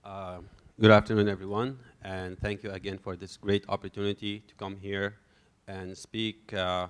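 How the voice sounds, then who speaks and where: Man, low flat voice, hesitant panel talk with many pauses. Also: smooth. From Syria. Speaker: refugee panelist.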